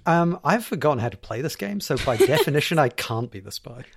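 A man's voice: a little high, very animated, a little fast, a little hiss or hum. A man bantering casually as a game show panelist, wry and joking.

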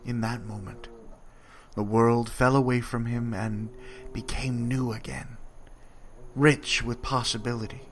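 Slow, dramatic male storyteller. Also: medium pitch, little pitch movement, a little hiss or hum. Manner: dramatic, with wide swings in loudness. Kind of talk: dramatic scripted monologue reading.

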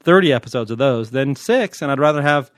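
An American man talks casually as a podcast host, with a wry and joking manner. His voice sits at a middling pitch, recorded close and dry with no room sound. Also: expressive, fairly quick, dry recording. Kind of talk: casual, unscripted podcast chat answering listener questions.

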